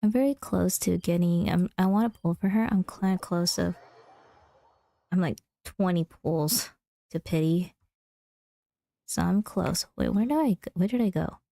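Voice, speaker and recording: A woman livestreaming a video game in casual chat. Her voice is mellow with plenty of fry, recorded dry and close over faint music. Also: on the low side, measured pace, long pauses, dry recording. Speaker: game streamer.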